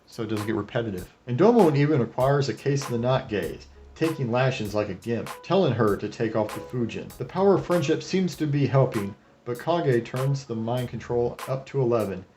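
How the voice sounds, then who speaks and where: A man's voice: medium pitch, lively intonation, fairly quick, hardly any pauses, dark tone, soft background music. A man hosting a video review, narrating with wry, sardonic commentary and crude joking asides. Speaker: host of an anime retrospective review.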